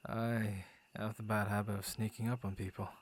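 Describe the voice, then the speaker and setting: A man's voice: lowish voice, flat delivery, moderate pace, a little hiss or hum, close to an everyday voice. A man voicing a friendly, bashful werewolf who guards his forest, stammering and playfully theatrical, in an ASMR boyfriend roleplay. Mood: sheepish, apologetic.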